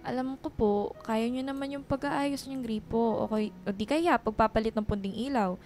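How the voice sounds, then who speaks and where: An actress playing a caring, apologetic young daughter in a Filipino radio drama, speaking respectfully and pleadingly. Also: female voice, a little high, moderate pace, a little mellow, faint music behind, close to an everyday voice. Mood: apologetic, earnest.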